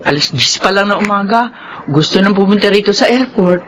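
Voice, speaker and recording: A woman's voice: mid-range voice, fairly quick, a little hiss or hum, natural voice. A woman acting as an anguished, protective Filipino mother. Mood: fond, patient. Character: anxious protective mother hiding a painful truth from her son.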